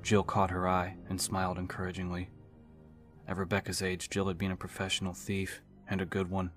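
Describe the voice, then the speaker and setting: A man's voice: low-pitched, monotone, a little fast. A man reading scripted dramatic narration for an audiobook. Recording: music behind the voice.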